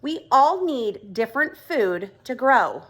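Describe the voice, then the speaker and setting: A woman's voice: on the high side, very animated, slow pace, fairly bright tone, exaggerated voice. A woman voicing a friendly grown-up frog in a children's storybook, explaining things patiently and with emphasis. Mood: patient, reassuring. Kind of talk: children's storybook read-aloud dialogue.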